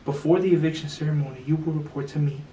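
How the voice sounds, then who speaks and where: A young man hosts in an easygoing, jokey way, padding his lines with fillers. His voice is fairly high for a man and sits back from the mic. The room around him rings noticeably, with a faint hiss behind. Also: fairly even intonation, quick pace, mellow. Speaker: host. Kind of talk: casual explanation and reading out a secret message. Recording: echoey room, slightly distant, faint noise.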